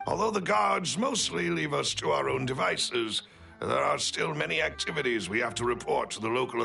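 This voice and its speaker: Game butler: discreet and formal, heavy vocal fry, expressive pitch movement. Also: male voice, recorded from a distance, exaggerated voice. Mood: explanatory, serious. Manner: formal, deliberate, precise phrasing. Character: devoted, discreet male butler, measured and analytical. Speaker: voice actor in a game. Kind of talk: scripted video game dialogue.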